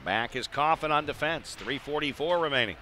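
A man's voice: fairly high pitch, expressive, brisk, bright, forceful tone, a little hiss or hum. A man doing live play-by-play hockey commentary in clipped phrases with chatty asides. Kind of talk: live radio-style sports commentary. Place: indoor ice arena.

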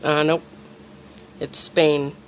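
A man with a fairly high voice hosts a casual home quiz. He reads questions aloud in an informal way. There is slight noise behind him. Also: little pitch movement, very slow. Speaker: quiz host.

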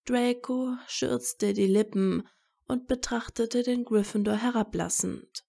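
A woman reading scripted romantic fiction as a narrator in soft, measured storytelling. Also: on the high side, fairly even intonation, vocal fry.

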